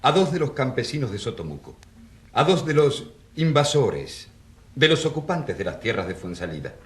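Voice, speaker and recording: A man's voice: mid-range voice, very animated, normal speed, close to an everyday voice. An actor playing an earnest country priest in his thirties, pleading with rhetorical force in a radio drama. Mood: dignified, composed. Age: 30s. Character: impassioned rural priest of 34, defending poor peasants. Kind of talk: radio drama courtroom oratory. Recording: roomy echo.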